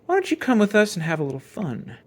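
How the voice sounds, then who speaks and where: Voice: medium pitch, wildly swooping pitch, quick pace, a little mellow, pitched above the performer's own voice. A storybook reader voicing a swaggering young male bear gang leader, teasing and goading in a slangy way. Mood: cocky, coaxing.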